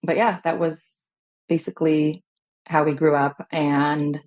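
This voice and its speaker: A woman guest who grew up in Long Beach, California, with Hebrew as her first language, talking in a relaxed, reflective way with many fillers. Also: deep voice, measured pace. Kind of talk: casual conversational interview.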